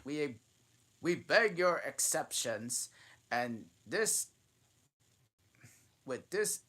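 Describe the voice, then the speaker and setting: A man voicing a solemn, self-important male dodo bird in a storybook reading, speaking gravely and stumbling over his words. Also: fairly high pitch, big pitch swings, extremely slow, a bit bright, pitched above the performer's own voice, full character voice.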